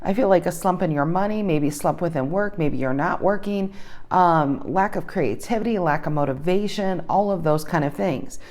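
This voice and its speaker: A woman's voice: lowish voice, moderate pace, a little mellow. Chatty woman reading tarot to camera. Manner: chatty, thinking aloud, repeats questions while shuffling. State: slightly losing her voice. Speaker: tarot reader.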